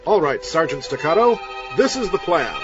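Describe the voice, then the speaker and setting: An actor playing a vain, self-assured heroic doctor, pompous and grandly deliberate, in a comedy audio drama. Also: male voice, on the high side, big pitch swings, lo-fi sound, music in the background. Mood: smug, commanding.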